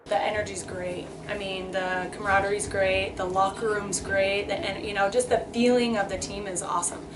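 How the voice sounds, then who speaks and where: A woman's voice: medium pitch, level tone, moderate pace. A woman who coaches volleyball, answering casually in an interview with ambient noise around her. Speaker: college volleyball head coach. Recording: clear ambient background noise.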